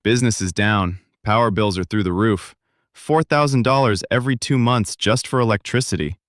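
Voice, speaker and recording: A man voicing a tired small-town man who speaks quietly and glumly, in an audiobook reading. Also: fairly low pitch, normal speed, no echo, studio quality, lightly acted. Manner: quiet, glum. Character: weary small-town man bringing bad news. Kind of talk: audiobook character voice.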